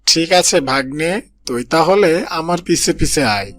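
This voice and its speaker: A man's voice: fairly high pitch, expressive, unhurried, a bit bright. A man voicing a sly, greedy old male fox who is falsely friendly and grumbles about his age, in a cartoon. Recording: cartoon voice-over with background music.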